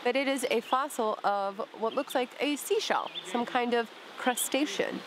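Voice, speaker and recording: A woman's voice: on the high side, moderate pace. A young woman vlogging outdoors by a forest stream, chatty and playful, filming herself with a handheld camera at arm's length. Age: young adult. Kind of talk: casual vlog narration. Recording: stream noise in the background. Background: flowing stream and outdoor ambience.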